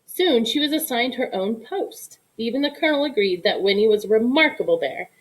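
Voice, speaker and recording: A woman giving an expressive read-aloud narration of a children's story. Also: a little high, a little fast, low-quality recording. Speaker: storybook reader.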